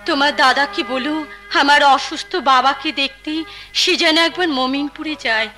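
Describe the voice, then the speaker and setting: A woman's voice: high-pitched, moderate pace, bright, forceful tone, faint music behind. A woman playing a devoted, distressed young housewife in Bengali folk-theatre melodrama, tearful and pleading in her delivery. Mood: grief-stricken.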